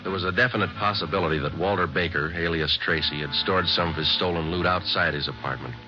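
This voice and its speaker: A man playing a terse, deadpan police sergeant who also narrates, in a 1950s radio drama. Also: low voice, fast, over music, close to an everyday voice. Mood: narrating. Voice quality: deadpan, clipped. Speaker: detective narrator. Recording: muffled old radio broadcast.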